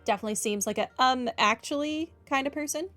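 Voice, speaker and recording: A woman's voice: sits high, fast talker, a bit bright. A woman gaming host commenting on a playthrough in a musing, conversational, opinionated way. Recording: game music behind the voice. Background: game music.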